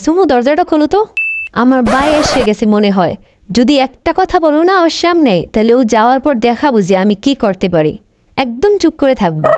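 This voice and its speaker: High-pitched bossy cartoon grandmother voiced by a woman. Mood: fussing. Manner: scolding, melodramatic, gushing over her brother. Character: bossy elderly grandmother politician, doting sister, nagging wife. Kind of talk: comic cartoon dubbing. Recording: noisy amateur cartoon dub with clicks.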